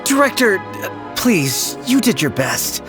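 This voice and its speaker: A meek male assistant in a video game, hesitant and polite. His apologetic speech moves up and down in pitch over faint music. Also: sing-song, slow, exaggerated voice. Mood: apologetic, doubtful. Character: meek, apologetic parlor assistant, deferential to the boss. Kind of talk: English video game voice acting.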